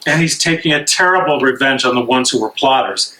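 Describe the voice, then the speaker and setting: A man's voice: medium pitch, fairly quick, pushed and bright, natural voice, low-quality recording. A man acting an angry, bitter imprisoned dissident in an amateur stage reading, agitated, challenging and insistent.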